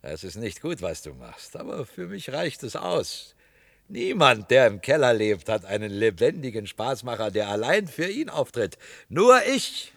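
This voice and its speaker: An actor in a radio play playing a coarse, domineering cellar-dweller with a rough voice and a blustering, sneering manner. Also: male voice, mid-range voice, very animated, measured pace, no echo, full character voice. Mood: gloating, domineering.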